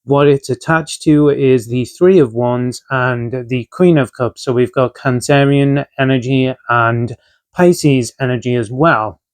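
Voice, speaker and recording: Guy doing a tarot reading, voice kinda rough from a chest infection, laid back, lots of ums, mid pitch. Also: measured pace. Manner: relaxed and conversational, frequent filler ums and uhs. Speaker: tarot reader. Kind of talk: casual tarot reading to camera.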